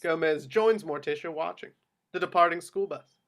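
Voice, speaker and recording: A man's voice: a little high, moderate pace, fairly bright tone. A man reading screenplay action lines as the narrator of a table read. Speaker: stage directions narrator.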